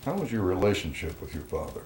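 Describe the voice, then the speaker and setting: A man acting as a calm, persistent, skeptical police interrogator, probing in an understated film style. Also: deep voice, fast talker, over loud music. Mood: pressing, skeptical. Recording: faint background noise.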